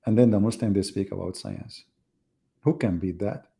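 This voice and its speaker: Low, gravelly, sarcastic man. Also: normal speed, dark tone. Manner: sarcastic, teasing, rhetorical questions. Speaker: host of live religious debate. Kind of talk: mocking casual live commentary.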